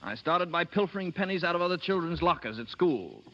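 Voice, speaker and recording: An actor playing a wry, cool fugitive with a dry, teasing manner, in 1930s radio drama style. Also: male voice, fairly high pitch, very animated, brisk. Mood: mock-confessional. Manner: dry, mocking. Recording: muffled, hissy 1930s radio broadcast.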